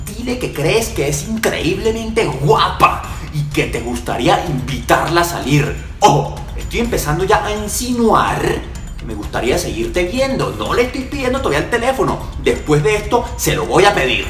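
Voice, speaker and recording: Brash slangy commentator, echoey. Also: male voice, on the high side, sing-song, brisk, over music. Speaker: channel host commenting on the clip. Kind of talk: voice-over commentary.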